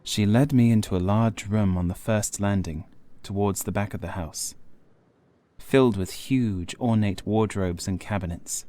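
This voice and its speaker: A man reading scripted Gothic horror as an audiobook narrator, in a measured, formal, literary delivery. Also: lowish voice, dark tone. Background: faint music.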